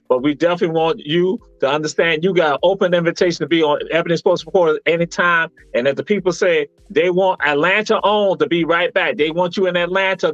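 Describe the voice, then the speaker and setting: A man's voice: on the high side, a bit bright, no echo, dull audio. A man hosting a casual sports chat show, rambling and restarting his sentences. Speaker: show host.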